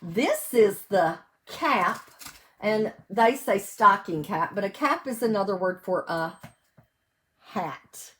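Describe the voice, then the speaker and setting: A woman's voice: mid-range voice, expressive, slow, a bit bright. A woman teacher reading a picture book aloud to young children at home, in a sing-song way, explaining simply and asking them questions. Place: indoors at home.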